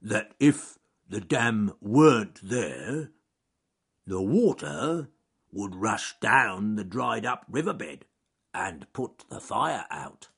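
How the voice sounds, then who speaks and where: A man voicing a big, strong, clever male bear who speaks slowly and carefully. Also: sits low, animated, creaky, husky, full character voice. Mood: deliberate. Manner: slow and careful speech.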